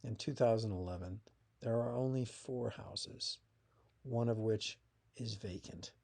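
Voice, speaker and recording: A man's voice: lowish voice, measured pace, creaky, darkish tone. Man reading his own historical essay aloud. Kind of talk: scripted historical narration.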